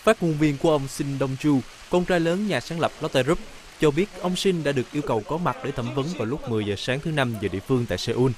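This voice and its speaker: A man narrating a news report as voice-over, in a steady, formal reading. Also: fairly high pitch, quick pace.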